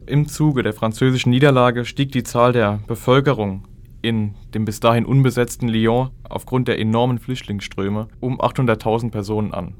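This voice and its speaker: A man's voice: mid-range voice, fairly even intonation, moderate pace. A man speaking as a historical expert, explaining in an academic manner for a documentary.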